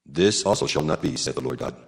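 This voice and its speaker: A man's voice: extremely low pitch, animated, quick pace, creaky, exaggerated voice. A man playing God as a stern, commanding deity pronouncing judgment in a dramatized scripture reading. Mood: stern, solemn. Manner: solemn, commanding delivery. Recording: music behind the voice. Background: music.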